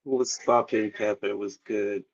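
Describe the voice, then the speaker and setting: A man's voice: on the low side, steady tone, a little slow, vocal fry, slightly rough. Easygoing Nigerian man, lo-fi sound. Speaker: guest musician. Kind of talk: casual chat. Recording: dull lo-fi sound.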